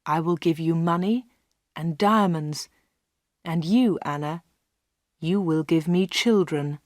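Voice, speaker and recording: A woman reading an audiobook, voicing a menacing, charming man who speaks low and slowly. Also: no echo, studio quality. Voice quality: low, slow and quiet.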